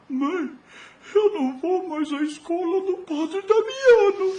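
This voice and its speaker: Woman as a tearful, very high-pitched little boy. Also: mellow, heavily acted. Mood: tearful, upset. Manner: childlike, plaintive. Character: tearful, hurt, stubborn little boy of seven. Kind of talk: audio drama acting. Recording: roomy echo, distant from mic.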